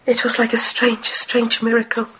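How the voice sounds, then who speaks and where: A woman acts a soft-spoken household servant in a radio horror drama, formal, careful and wistful. The sound is slightly dull, with faint noise behind. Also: on the high side, completely flat delivery, moderate pace, close to an everyday voice. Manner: formal, hesitant, careful phrasing.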